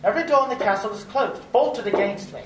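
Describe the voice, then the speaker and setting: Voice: medium pitch, quick pace, over music, natural voice. An actor playing an earnest, frightened English traveller held prisoner, telling the tale dramatically in an old-time radio drama. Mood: haunted, fearful. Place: live performance at a public library. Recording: roomy, distant, noisy live recording.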